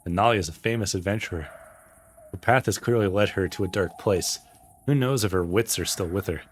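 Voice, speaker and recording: A man's voice: low-pitched, a little fast, slight background noise. A man streaming a game, reading visual novel dialogue aloud. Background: soft guitar game music.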